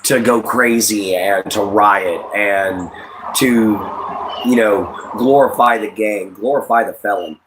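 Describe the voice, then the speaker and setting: Livestream host: American male, late 30s, fairly low voice, casual and opinionated, circles back over points while addressing the audience. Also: slow, background noise. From United States. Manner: addresses listeners directly, rambling and repetitive.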